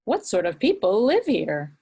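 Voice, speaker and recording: A woman's voice: mid-range voice, wildly swooping pitch, measured pace, dark tone, breathy edge, exaggerated voice. A woman voicing a polite, prim little girl who is easily offended, in a library puppet show.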